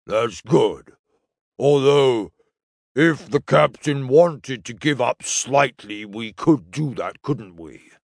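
A man voicing a huge, simple-minded, anxious male alien crewman in an audiobook, with naive, halting speech. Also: medium pitch, expressive, vocal fry, dry recording, studio quality, full character voice. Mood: worried, naive. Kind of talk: audiobook character acting.

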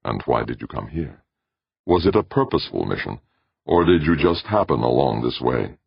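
A man's voice: rumbling low, animated, moderate pace, creaky, breathy edge, no room sound, lo-fi sound, heavily acted. A man voicing a curious, awed man from Earth in an audiobook, politely questioning. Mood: probing.

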